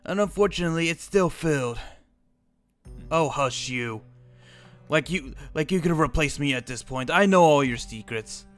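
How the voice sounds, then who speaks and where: A man's voice: a little high, animated, moderate pace, fairly bright tone, faint music behind. A young man roleplaying an old immortal wizard, rambling and restarting his sentences. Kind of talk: in-character roleplay chat.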